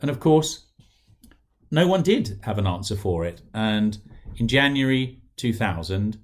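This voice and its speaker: A middle-aged man with a British accent, an author reading aloud from his non-fiction book in an articulate, deliberate and formal way. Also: lowish voice, very animated.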